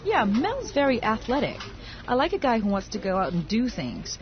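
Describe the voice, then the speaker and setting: A woman's voice: on the high side, normal speed, a crowd in the background. A woman acting out a friendly everyday chat in a scripted listening dialogue. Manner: conversational, expressive. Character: woman talking over her dating life. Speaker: dialogue actor. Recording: dull lo-fi audio.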